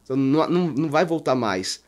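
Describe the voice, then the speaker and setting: A man's voice: on the high side, animated, fast talker, darkish tone. A Brazilian man as a podcast guest, discussing business casually and informally, repeating himself for emphasis.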